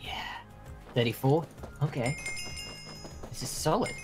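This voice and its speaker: A man playing an eager, naive teenage boy in an improvised game roleplay, chatty and animated. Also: mid-range voice, unhurried, close to an everyday voice. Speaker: roleplay actor in a Minecraft series. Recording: loud music and chimes behind.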